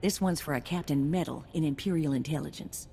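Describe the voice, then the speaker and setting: Folksy low-voiced bounty handler. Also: female voice, brisk, gravelly, warm tone, husky, in a large hall, exaggerated voice. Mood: wry, businesslike. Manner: folksy, colloquial.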